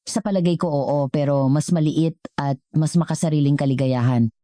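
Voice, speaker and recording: A woman voicing a gentle, humble middle-aged wife and mother in an earnest storybook reading. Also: deep voice, moderate pace, no echo, clean studio sound, lightly acted. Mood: reflective. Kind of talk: scripted storybook dialogue.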